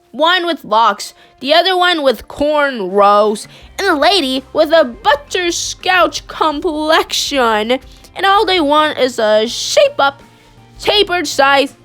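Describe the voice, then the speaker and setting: A woman reading a children's picture book aloud in an expressive, animated way, with dramatic emphasis on key phrases. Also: high voice. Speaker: storybook reader.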